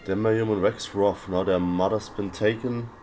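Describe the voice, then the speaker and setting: A man's voice: lowish voice, fairly even intonation, normal speed, darkish tone, faint music behind. A man giving casual, chatty and irreverent commentary as he plays a video game. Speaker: gaming streamer.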